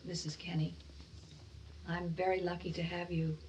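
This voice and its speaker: A woman's voice: on the low side, little pitch movement, moderate pace, darkish tone, far from the mic, natural voice, low-quality recording. Radio drama role for a woman: worried, peacemaking widowed mother in middle age, off-mic sound with background noise. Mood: grateful. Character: anxious, conciliatory recently widowed mother.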